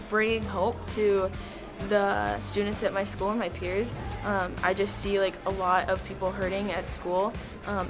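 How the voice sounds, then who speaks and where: A teenage young woman, a student leader, giving an informal testimonial. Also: medium pitch.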